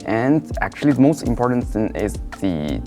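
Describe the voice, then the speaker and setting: A young man from China speaking English, in halting, simply phrased casual talk. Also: fairly low pitch, big pitch swings, mellow, faint music behind. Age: young adult. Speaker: international student. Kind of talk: casual chat.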